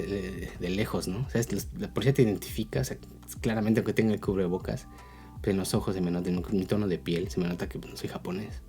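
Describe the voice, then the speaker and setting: A man's voice: fairly low pitch, warm tone. A man with a Mexican accent giving a casual, explanatory opinion talk as a video blogger, often checking in with tag questions.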